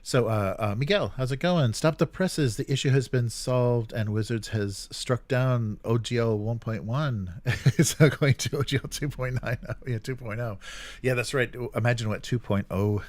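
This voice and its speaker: A man hosting a tabletop gaming livestream, chatting casually and reading out viewer comments in a friendly, informal, halting way. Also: medium pitch, a little mellow, dry recording.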